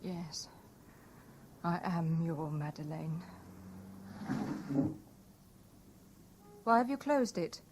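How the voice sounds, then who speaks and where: A woman's voice: deep voice, lively intonation, takes it slowly. An actress playing a mistress confessing her part in a murder plot, with theatrical 1970s radio drama delivery. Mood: wistful, distressed. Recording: noisy old radio broadcast recording.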